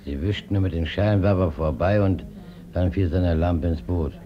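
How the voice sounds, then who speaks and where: Man playing deep, sombre fisherman, muffled sound. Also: steady tone, moderate pace, slight background noise, close to an everyday voice. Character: sombre fisherman, plain eyewitness to a killing. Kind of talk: German radio play acting.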